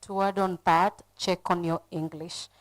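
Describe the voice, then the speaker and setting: A Kenyan woman on a conference panel, speaking in a somewhat low voice. She gives advice in a direct, earnest way, urging the listener to act. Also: level tone, measured pace.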